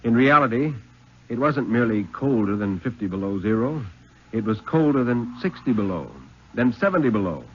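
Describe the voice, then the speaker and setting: An American man giving a solemn, deliberate dramatic reading of a story in the style of 1950s radio. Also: medium pitch. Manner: solemn, deliberate, expressive phrasing. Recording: muffled 1950s radio recording.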